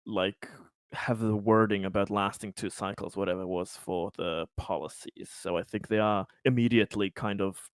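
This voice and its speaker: A man taking part in a tabletop game as a player, talking in a halting way with many restarts. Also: fairly low pitch, steady tone, clean tone, dry recording, studio quality. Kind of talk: casual game chat.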